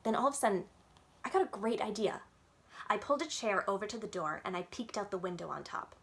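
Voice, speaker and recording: A woman reading a children's book aloud as a storyteller, with expressive, childlike emphasis in a first-person narration. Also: mid-range voice, fast.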